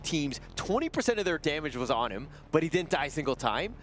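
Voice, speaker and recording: A man breaking down an esports match at a studio analysis desk, talking in a quick, conversational way with some teasing. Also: a little high, sing-song, a bit bright, a little hiss or hum. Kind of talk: post-game analysis desk chat.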